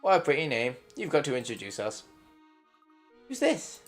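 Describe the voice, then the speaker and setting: A man's voice: mid-range voice, wildly swooping pitch, normal speed, soft background music. A man voicing a cheerful, overly friendly young man in a bouncy, breezy way. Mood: chummy.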